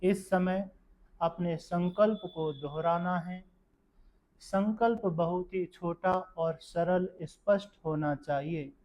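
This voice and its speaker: A man guiding a meditation session in a calm, measured, instructional manner. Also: sits high, rough recording. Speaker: yoga nidra meditation guide. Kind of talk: guided meditation narration.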